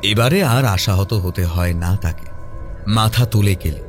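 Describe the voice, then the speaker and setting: A man narrating a scripted horror mystery audio story in a dramatic, measured manner. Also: lowish voice, faint music behind.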